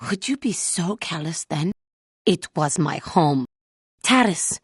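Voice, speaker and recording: An actress voicing a proud, solemn alien woman warrior in a video game, with formal, measured diction and a wounded, bitter temper. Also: on the low side, a little mellow, slightly rough, no room sound, studio quality. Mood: bitter, reproachful. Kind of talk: scripted game character dialogue.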